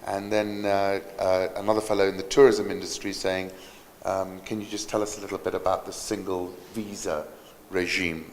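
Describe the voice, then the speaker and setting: Moderator, man with a very low voice, halting and filler-heavy, hall reverb and faint background noise. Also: flat delivery. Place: conference hall.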